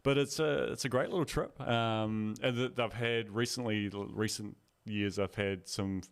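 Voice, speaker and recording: A man, co-hosting a casual sports podcast with relaxed, dry teasing. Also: fairly low pitch, a little slow, smooth. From New Zealand. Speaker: podcast co-host.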